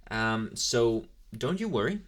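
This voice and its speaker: A man's voice: mid-range voice, sing-song, slow, a bit bright. A man playing an inexperienced young male demon intern in an ASMR roleplay, chatting in a casual, hesitant, apologetic way straight to the listener. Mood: explaining, reassuring. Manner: rambling, hesitant, casually chatty with slangy asides.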